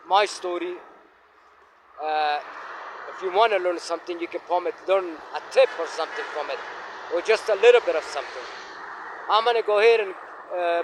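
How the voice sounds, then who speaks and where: A man in his fifties from Afghanistan, telling his life story to his phone camera while walking in an outdoor park, speaking in a halting, reflective way with many fillers. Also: sits high, noisy background. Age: 50s. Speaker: vlogger. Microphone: phone held facing himself.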